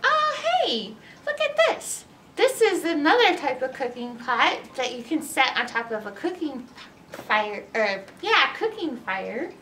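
Slow, very animated high-voiced woman. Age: middle-aged. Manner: animated, exaggerated, teacherly, asking questions. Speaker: children's librarian, story time host. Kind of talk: read-aloud story time for young children. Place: indoors in a library, by bookshelves. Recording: roomy distance from mic, background noise.

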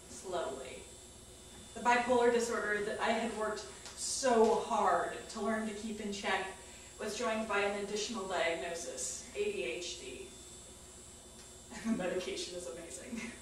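A woman's voice: medium pitch, fairly even intonation, unhurried. A woman in her thirties reading a wry, emphatic personal monologue to a theater audience. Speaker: spoken-word storyteller on stage. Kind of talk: scripted personal monologue read aloud. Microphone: far camera microphone. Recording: echoey theater, distant mic, faint noise.

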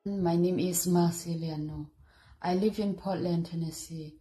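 A woman speaks quietly in simple phrases. Her voice is low and very dark. Soft music sits behind. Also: steady tone, a little slow. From Myanmar. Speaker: stay-at-home mom. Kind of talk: promotional testimonial.

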